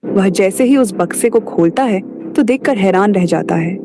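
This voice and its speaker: A woman's voice: medium pitch, normal speed, music in the background. A woman narrator reading a scripted moral story for a cartoon.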